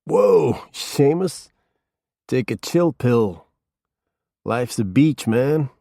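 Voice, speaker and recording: A man's voice: medium pitch, slow pace, a little mellow, dry recording, clean studio sound, pitched above the performer's own voice. A man doing a stoned, boozy slacker drawl. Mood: stoned, taunting. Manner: slurring stoner drawl with shifting put-on tones. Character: stoned, drunk middle-aged male slacker.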